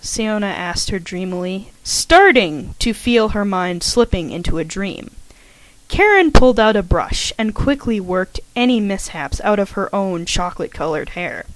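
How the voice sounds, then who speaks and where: A woman reading her own fanfiction aloud as a podfic, in a lively storytelling way. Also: mid-range voice, moderate pace, a little hiss or hum, rough recording.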